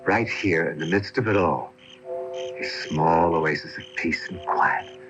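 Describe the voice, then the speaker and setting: An actor playing a courtly, melancholy drama coach in a radio drama, speaking eloquently in theatrical, old-fashioned phrases. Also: male voice, deep voice, unhurried, over music. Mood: enchanted, wistful. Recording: dull lo-fi off-air radio tape.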